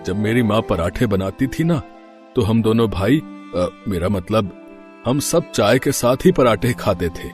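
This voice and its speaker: A man playing a subdued elderly father-in-law in a cartoon family story, gentle, hesitant and wistful. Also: lowish voice, unhurried, soft background music, natural voice.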